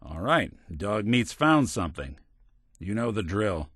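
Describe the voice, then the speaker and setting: Low-pitched, wry noir detective robot. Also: male voice, sing-song, measured pace, fairly bright tone, no room sound, full character voice. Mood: focused, investigative. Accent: American. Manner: dry, wry, streetwise slangy delivery. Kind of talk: 1940s hardboiled detective video game acting.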